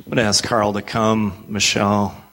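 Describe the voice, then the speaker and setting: Male church officiant, fairly low voice, ceremony announcements and presentations. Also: little pitch movement, moderate pace, a bit bright, a little hiss or hum. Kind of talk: informal ceremony announcements and formal reading.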